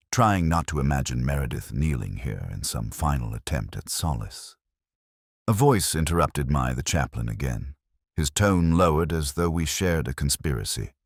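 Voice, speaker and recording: Male narrator, very deep, expressive pitch, for brooding first-person detective fiction. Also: vocal fry, no room sound, studio quality.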